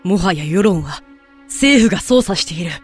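A man's voice: high-pitched, animated, normal speed, breathy edge, faint music behind. A man playing a defiant, principled captive in an audio drama, earnest and unyielding. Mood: impassioned. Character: defiant captured man, principled protector of werewolves. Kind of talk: Japanese audio drama acting.

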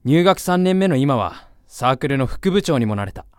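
An actor playing an insecure, earnest young college student in love, with hesitant, emotional boyish speech in a Japanese voice drama. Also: male voice, medium pitch, sing-song, normal speed, dry recording, studio quality, close to an everyday voice. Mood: proud, fond. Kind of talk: Japanese audio drama acting.

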